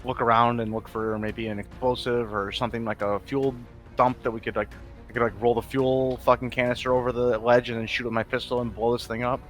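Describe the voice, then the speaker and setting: A man's voice: mid-range voice, level tone, brisk, soft background music. A man chatting casually as a player in a tabletop roleplaying game.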